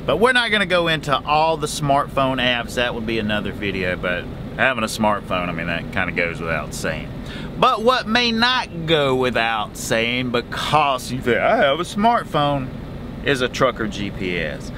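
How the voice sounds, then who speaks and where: A man's voice: medium pitch, moderate pace, noisy background. A man, giving folksy casual advice as a truck driver vlogger from his sleeper cab. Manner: chatty, folksy, animated hand gestures. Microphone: camera in front at close range.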